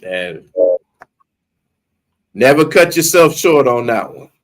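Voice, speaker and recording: A man's voice: medium pitch, very animated, takes it slowly. A man on a casual sports podcast, laid-back and agreeable.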